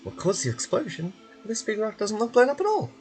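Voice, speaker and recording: Man as small childlike game fairy: squeaky high voice, excitable, quick to question and fret. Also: faint music behind. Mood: puzzled. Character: small childlike excitable female fairy companion, easily alarmed.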